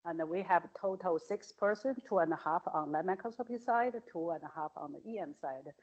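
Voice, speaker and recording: Low-voiced woman, distant dull sound. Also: fairly quick, husky. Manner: matter-of-fact, with fillers. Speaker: microscopy laboratory director. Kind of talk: panel introduction. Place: conference hall at a forum. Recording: dull audio, set back from the mic.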